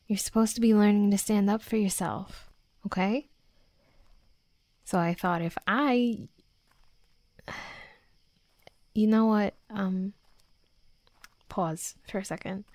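Unhurried tsundere werewolf girl, acted by a woman. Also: medium pitch, no echo. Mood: exasperated. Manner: halting, defensive, teasing, often dropping to soft murmurs. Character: hot-headed, flustered young female tsundere werewolf, softening bully. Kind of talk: intimate roleplay audio drama.

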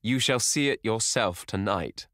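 Man doing a proud insolent young man, hard clear voice, taunting, deep, dry. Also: expressive, moderate pace, no echo.

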